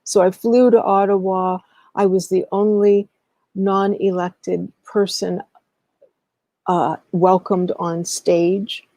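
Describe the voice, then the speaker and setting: A middle-aged woman speaking as an interview guest, recounting past work in an earnest, deliberate way and pausing mid-thought. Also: mid-range voice, level tone, dark tone, no room sound. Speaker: guest, historian and educator.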